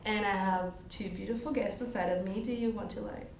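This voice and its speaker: A woman's voice: mid-range voice, fairly even intonation, a little fast, creaky, far from the mic. A young woman hosting a student podcast, asking questions in a chatty, casual way. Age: young adult. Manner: chatty, many fillers. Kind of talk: casual chat. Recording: echoey room, voice from afar, faint noise.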